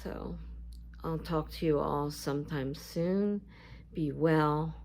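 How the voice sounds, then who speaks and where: Woman, scratchy gravelly voice, deep, vlog chat, halting with fillers. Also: a little mellow. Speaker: YouTuber. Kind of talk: casual talk to camera.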